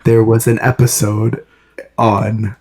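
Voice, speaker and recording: A man narrating a story aloud for friends in an amateur, dramatic, halting way. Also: fairly low pitch, monotone, darkish tone, slightly rough.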